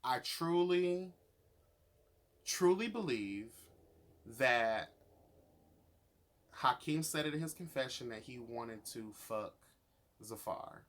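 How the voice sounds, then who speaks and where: A man's voice: mid-range voice, animated, slow pace, pauses often, a bit bright. Sassy, emphatic man giving a profane rant. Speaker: YouTube reviewer of a reality show. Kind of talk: opinionated review monologue, casual and profane.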